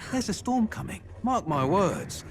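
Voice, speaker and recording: An actor playing a gloomy, worldly traveller in a fantasy video game, speaking with grave foreboding. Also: male voice, high-pitched, huge pitch swings, unhurried, exaggerated voice. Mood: ominous. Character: gloomy, worldly male traveller warning of trouble.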